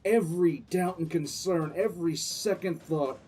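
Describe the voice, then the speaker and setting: A man playing a contemptuous military commissar who tells his own story in grim, deliberate dramatic narration. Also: on the high side, a little mellow, slightly rough. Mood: building intensity. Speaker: narrator and lead character. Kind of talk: first-person dramatic audio drama narration.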